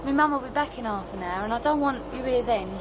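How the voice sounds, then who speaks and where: A woman's voice: on the high side, fast talker, noisy background, lightly acted. An actress playing a guarded, matter-of-fact young working-class woman with a British accent and blunt colloquial speech in an audio drama. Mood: guarded, grudging. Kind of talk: radio drama dialogue.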